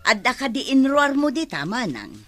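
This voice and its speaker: Chatty teasing friend, radio drama, faint music behind. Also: female voice, high voice, sing-song, normal speed.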